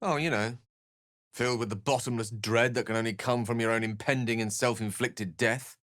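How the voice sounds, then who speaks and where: A man's voice: medium pitch, steady tone, moderate pace, fairly bright tone, no echo, lightly acted. An actor playing an eloquent, scholarly male wizard with dry wit in a fantasy video game, speaking in articulate, theatrical phrases. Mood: measured, thoughtful.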